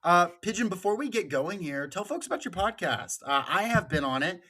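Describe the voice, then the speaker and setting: A man's voice: sits high, big pitch swings, brisk, pushed and bright. A man hosting a podcast, talking casually with a panel. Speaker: podcast host.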